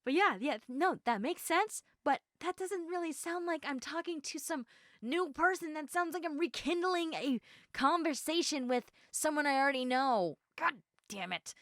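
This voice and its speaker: A woman performs an eager, socially awkward young woman in an intimate roleplay audio. Her voice is high and swings widely in pitch as she chats haltingly and excitably to the listener. The sound is very dry, with no room around it. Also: no room sound. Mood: awkward, flustered.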